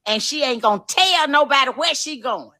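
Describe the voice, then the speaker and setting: A woman's voice: high-pitched, lively intonation, moderate pace, pushed and bright. A woman making an indignant public comment in emphatic, plain-spoken slang.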